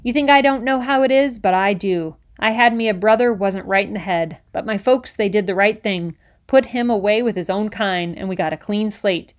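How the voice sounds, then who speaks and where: A bigoted middle-aged island policeman, put on by a woman reading a storybook aloud, lecturing a boy in rough, ungrammatical speech. He pushes his voice loud and hard. He talks down to the boy. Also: medium pitch, moderate pace, few pauses, close to an everyday voice. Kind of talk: audiobook character reading.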